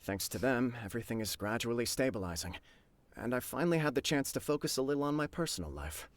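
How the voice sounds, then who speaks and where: A man's voice: mid-range voice, expressive, fast, no room sound, natural voice. A man playing an earnest, humble, dutiful young military clan leader in a video game, speaking formally and a little stiffly. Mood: modest.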